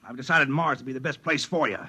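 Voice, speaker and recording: Husky, domineering old boss, sharp-edged. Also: male voice, mid-range voice, fairly even intonation, fast, heavily acted. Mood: smug scheming. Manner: sardonic, commanding, theatrical. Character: fat old cynical, domineering boss, smugly scheming. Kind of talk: 1950s radio drama acting. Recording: 1950s radio broadcast recording.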